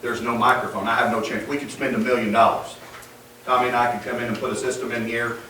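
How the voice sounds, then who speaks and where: Loud, blunt man far off in an echoey room. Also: medium pitch, little pitch movement, fast. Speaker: staff member handling the meeting's live stream. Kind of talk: board meeting discussion. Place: school board meeting room. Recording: far from the mic in an echoey room with background noise.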